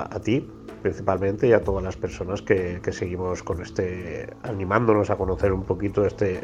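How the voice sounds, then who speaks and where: Deep-voiced male guest, hesitant, faint music underneath. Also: lo-fi sound. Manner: hesitant, searching for words. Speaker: interview guest. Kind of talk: podcast interview.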